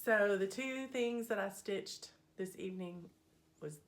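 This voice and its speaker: A woman hosting a needlework vlog, her voice still a little scratchy as it recovers, talking in an unhurried, friendly way with plenty of ums. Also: medium pitch. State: slightly scratchy voice, recovering from a cold. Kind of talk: casual chatty progress update.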